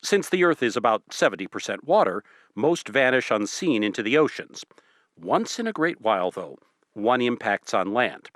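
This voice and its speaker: A man playing a measured, skeptical professor who explains things in a precise, formal way in a radio drama. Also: mid-range voice, sing-song, a bit bright, no room sound, studio quality. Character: measured, skeptical male professor giving expert analysis. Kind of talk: radio drama acting as a phone interview.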